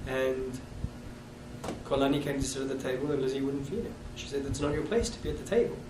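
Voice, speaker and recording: A man in his thirties with a South African accent, recalling his past with halting restarts in an oral history interview. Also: mid-range voice. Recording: echoey room, distant mic, noisy.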